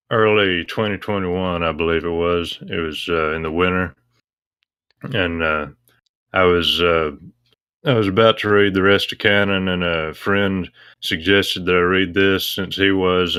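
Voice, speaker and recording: A man answers as an interview guest in a low voice with very little rise and fall. He explains casually and matter-of-factly, with a clear, bright edge to his sound. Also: no room sound.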